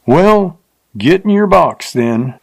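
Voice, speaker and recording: A man's voice: on the high side, big pitch swings, takes it slowly, creaky, slightly rough, no room sound, studio quality, voice pushed up, exaggerated voice. A man voicing a crude, drunken frontier rider with a coarse rustic drawl. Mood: jeering.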